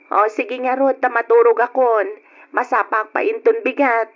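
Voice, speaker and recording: High-pitched coy young woman, acted by an actress. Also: steady tone, normal speed, natural voice. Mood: teasing affection. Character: young nursing student, coy, playful, dutiful daughter. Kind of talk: old radio drama acting. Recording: noisy, dull old radio drama.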